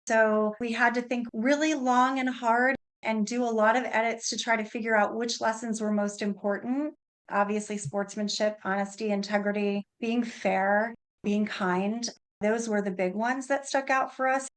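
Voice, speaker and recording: A woman answering as a radio interview guest, explaining her work in a deliberate, thoughtful way. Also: fairly high pitch, steady tone. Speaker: interview guest, opera librettist.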